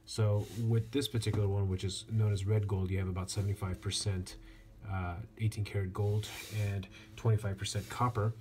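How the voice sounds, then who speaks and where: A man giving a casual hands-on product review as a reviewer, speaking in a halting way with frequent pauses. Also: fairly low pitch. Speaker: watch reviewer. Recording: clear steady background noise.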